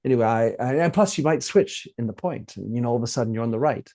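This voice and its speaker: A male podcast host asks questions in a loose, conversational way. He wanders, backtracks and restarts his sentences, filling the gaps with hesitations before reaching his point. His voice sits at a middle pitch. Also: fast talker, darkish tone. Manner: rambling, self-interrupting questions. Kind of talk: conversational interviewing.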